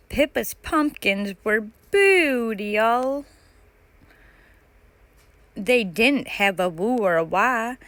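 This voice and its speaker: A woman's voice: a little high, a bit bright, dry recording. A woman narrating a children's picture book aloud as a storytime reader.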